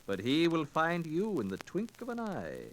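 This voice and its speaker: An actor playing a shrewd, deferential old-English innkeeper with folksy archaic speech in a 1940s radio drama. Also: male voice, on the high side, wildly swooping pitch, moderate pace. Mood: sly, deferential. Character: shrewd, deferential male tavern landlord in old England. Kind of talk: 1940s radio adventure acting. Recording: noisy 1940s radio recording with clicks.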